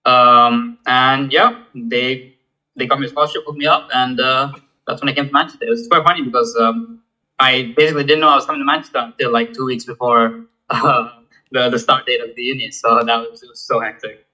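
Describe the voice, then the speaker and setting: Fast-talking young man, bright tinny sound. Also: mid-range voice, steady tone. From Malaysia. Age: 20s. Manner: halting, many fillers and restarts. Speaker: guest, student founder. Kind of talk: casual interview.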